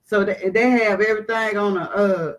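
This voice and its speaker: A woman telling a travel story in casual chat, animated and dramatic, jumping to high exclamations. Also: moderate pace, a crowd in the background. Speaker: vlogger.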